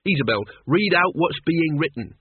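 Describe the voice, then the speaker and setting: A man's voice: a little high, animated, moderate pace, breathy edge, voice pushed up. A man voicing Death's irritable young male apprentice, commanding and menacing, in an audiobook story. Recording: muffled, echoey, phone-like radio sound.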